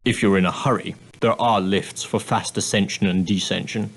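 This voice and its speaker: An actor playing a straight-laced, proper ocean-liner steward in a video game, speaking formally and deferentially with precise, politely dry phrasing. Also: male voice, lowish voice, steady tone, normal speed, a bit bright, husky. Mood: discreet, formal. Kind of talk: scripted game dialogue, giving guidance.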